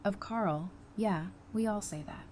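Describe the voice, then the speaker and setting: A woman acting a frank, dry-witted guard with a quietly caring side in an audio drama, speaking directly. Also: mid-range voice, a little slow, close to an everyday voice. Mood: probing, concerned. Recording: faint background noise.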